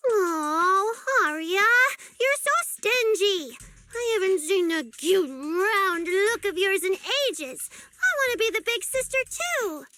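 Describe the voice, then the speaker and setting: A woman's voice: extremely high pitch, expressive, takes it slowly, bright, forceful tone, dry recording, soft background music, heavily acted. A woman voicing a cute, childlike idol girl in an anime-style video game, with a cutesy, dramatic manner. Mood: pouting. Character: cute small girl idol, childlike, excitable, self-doubting.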